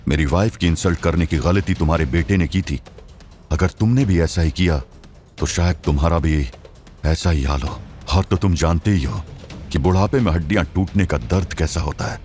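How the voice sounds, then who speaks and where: A man voices a coldly menacing young husband in an audio drama. His threats are quiet, controlled and deep, over faint music. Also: moderate pace, lightly acted. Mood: cold menace. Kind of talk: Hindi audio drama acting.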